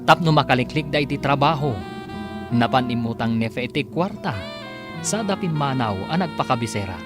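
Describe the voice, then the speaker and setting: Animated man announcing over music. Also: mid-range voice, normal speed. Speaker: radio drama announcer. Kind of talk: scripted radio serial narration. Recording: music bed behind the voice.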